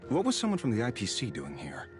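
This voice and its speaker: A moody game detective guy, low terse voice that swings around, music behind. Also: wildly swooping pitch, fast. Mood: curt. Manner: terse, gruff, confrontational. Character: brooding, suspicious male investigator.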